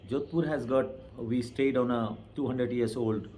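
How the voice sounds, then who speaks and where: Mellow-voiced Indian tour driver. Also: male voice, mid-range voice, fairly even intonation, a little slow, slight background noise, rough recording. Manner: plain, matter-of-fact recounting of a route. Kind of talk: casual interview.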